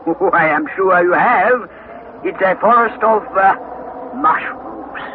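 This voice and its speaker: An actor playing a hearty, encouraging older uncle and eager explorer, in a theatrical, emphatic radio-drama style. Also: male voice, high voice, animated, slow. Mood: amused, assured. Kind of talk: classic radio drama acting. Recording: noisy, phone-like vintage radio recording.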